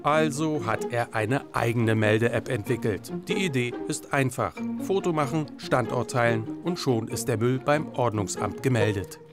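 A man delivers scripted voice-over for a news report. His voice sits in a middle register, with music clearly playing underneath. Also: medium pitch, moderate pace, hardly any pauses. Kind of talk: scripted narration. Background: background music.

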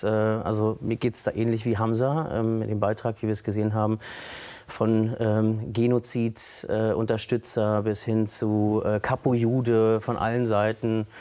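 Low, flat-voiced hesitant man. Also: clean tone. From Germany. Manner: hesitant, many fillers and pauses. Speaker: interview guest. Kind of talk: recorded TV interview.

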